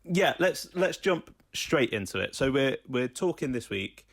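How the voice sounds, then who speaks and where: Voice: mid-range voice, big pitch swings, a little slow, gravelly, dry recording, clean studio sound. A podcast host chatting casually, feeling out phrases in a conversational way. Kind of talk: casual chat.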